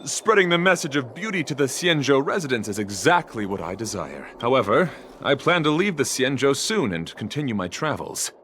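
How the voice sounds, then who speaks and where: Gallant knight with swooping intonation. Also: male voice, medium pitch, very animated, moderate pace, a bit bright, slight background noise. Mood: gallant, proud. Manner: florid, grandly earnest. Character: noble, florid male knight devoted to beauty. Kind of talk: English video game voice acting.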